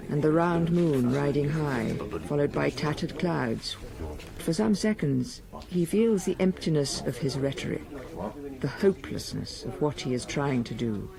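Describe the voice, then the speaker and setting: A man giving measured, scripted literary narration as storyteller of a period radio drama. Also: on the high side, vocal fry, rough recording.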